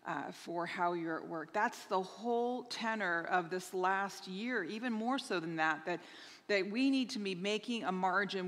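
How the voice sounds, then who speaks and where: A woman's voice: mid-range voice, a bit bright. A woman pastor in her thirties speaking at a lectern in a church sanctuary, earnestly sharing announcements with frequent restarts. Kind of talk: church announcements to online congregation. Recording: reverberant church hall.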